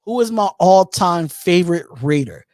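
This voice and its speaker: A man in his thirties hosting a live call-in show on his own, talking casually, repeating phrases and pausing on drawn-out ums while he reads out chat comments. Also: sits high, lively intonation, a little mellow, no room sound, studio quality. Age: 30s. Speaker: host.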